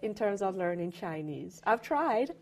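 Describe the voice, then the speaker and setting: Middle-aged woman from Jamaica, halting speech. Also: medium pitch, very animated, a crowd in the background. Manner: warm, halting, with frequent fillers and restarts. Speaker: interview guest, retired federal employee. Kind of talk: casual interview conversation.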